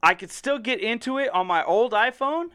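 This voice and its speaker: A man's voice: extremely high pitch, normal speed, smooth. A man in casual podcast chat, swearing freely and acting out both sides of the conversations he tells about. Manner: animated storyteller, swears freely, acts out both sides of a dialogue.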